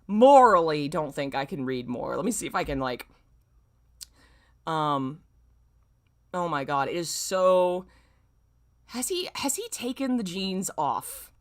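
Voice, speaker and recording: A woman with a somewhat low voice is streaming casually, reading aloud and commenting as she goes. She is informal and exclamatory, and she readily makes fun of herself. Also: big pitch swings, slow pace, pauses often. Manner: exclamatory, informal, self-mocking.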